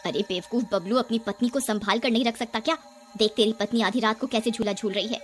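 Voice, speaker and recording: A woman acts a bossy elder sister-in-law from a village ghost cartoon. She is high-pitched and sharp-tongued, admonishing whoever she addresses. There is music underneath. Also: quick pace, lightly acted. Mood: angry scolding. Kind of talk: animated horror story dialogue.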